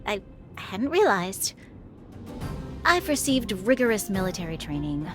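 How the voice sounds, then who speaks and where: A woman's voice: medium pitch, very animated, unhurried, fairly bright tone. A woman playing a composed, formal young military officer in a video game, earnest, polite and reserved. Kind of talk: video game story dialogue. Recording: clear music under the voice.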